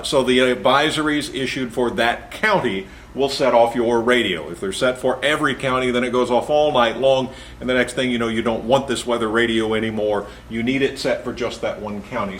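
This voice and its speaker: A man talking through a hands-on tutorial, patient and methodical, naming each button press as he goes. His pitch sits in an ordinary middle range. Faint background hiss comes from the indoor room. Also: fairly bright tone. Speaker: instructor. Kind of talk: step-by-step tutorial.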